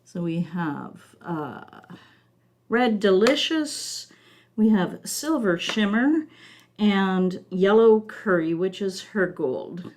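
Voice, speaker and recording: A woman leads an online card-making lesson, moving through each step slowly and methodically with small fillers along the way. Her voice is still recovering from a long illness. She speaks at a middle pitch. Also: animated, darkish tone, slight background noise. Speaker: craft class instructor.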